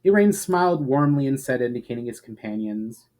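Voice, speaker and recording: A man's voice: mid-range voice, expressive, brisk, darkish tone. A man reading a fantasy story aloud as a dramatic, scripted narration. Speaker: storyteller, reader.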